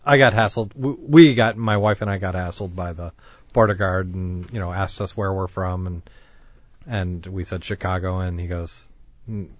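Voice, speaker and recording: Panelist, man, very low voice, slow low-key storyteller, dry dull sound. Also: steady tone, no echo. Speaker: podcast panelist. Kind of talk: casual storytelling.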